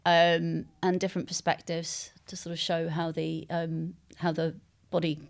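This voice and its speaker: A woman novelist being interviewed, speaking conversationally and thoughtfully, often pausing to search for words. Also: deep voice, flat delivery, creaky.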